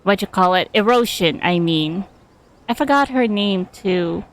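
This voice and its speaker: A woman's voice: mid-range voice, expressive, a little slow. A woman streaming a game with casual commentary, hesitantly thinking aloud as she goes.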